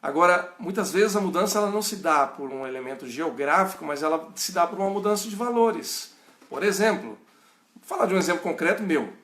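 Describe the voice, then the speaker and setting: A man's voice: high-pitched, expressive, brisk, fairly bright tone, roomy sound. A middle-aged pastor with a rural São Paulo and Minas Gerais Brazilian accent, teaching informally and often checking in with his listeners. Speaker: pastor teaching a Bible study. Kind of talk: informal teaching answering viewers' questions.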